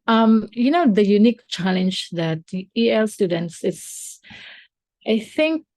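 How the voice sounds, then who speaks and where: A woman's voice: mid-range voice, expressive, measured pace, a little mellow, no echo. A woman teacher and podcast co-host who is not a native English speaker, chatting casually with many fillers and restarts.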